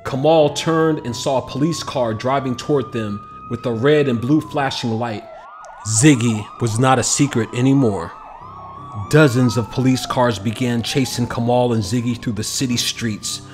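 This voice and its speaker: A man reading a children's picture book aloud as an expressive, scripted narration. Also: mid-range voice, normal speed, music in the background.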